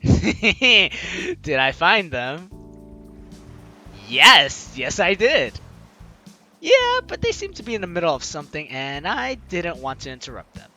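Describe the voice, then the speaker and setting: A man with a high, very lively voice narrates a video game aloud as its host. His delivery is bright and forceful, slipping into playful, teasing commentary, with music playing underneath. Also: slow. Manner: playful, teasing, exclamatory, talks to the audience. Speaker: let's-play host. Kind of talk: reading game text aloud with excited commentary.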